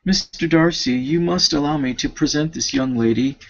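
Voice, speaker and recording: A man reading the part of an affable, chatty English gentleman in a novel, with formal, courtly, wordy phrasing. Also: fairly high pitch, normal speed, gravelly, slight background noise. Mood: gallant. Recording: dull lo-fi home recording.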